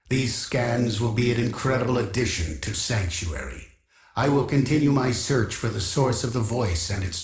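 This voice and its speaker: An actor playing an imperious artificial intelligence archivist in a video game, speaking in a formal, pompous, deliberate way, obsessed with knowledge. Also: male voice, medium pitch, roomy sound, close to an everyday voice. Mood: solemn. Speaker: quest-giving game character. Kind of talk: scripted video game voice acting.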